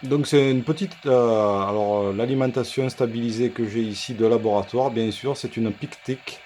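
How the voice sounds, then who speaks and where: A man presenting an unscripted workshop demonstration as a repair technician, explaining things in a halting, hesitant way. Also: mid-range voice, a little mellow, a little hiss or hum. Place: indoor electronics workshop.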